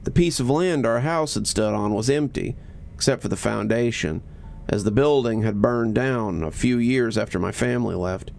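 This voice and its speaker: A man's voice: mid-range voice, normal speed, vocal fry, a little mellow. A man narrating a horror story in a dramatic, scripted storytelling style.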